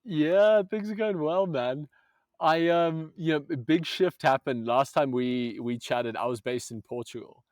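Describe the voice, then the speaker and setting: A man's voice: fairly high pitch, measured pace, a little mellow, dry recording. A man from Zambia, a movement coach, talking earnestly in a casual podcast conversation, with many restarts.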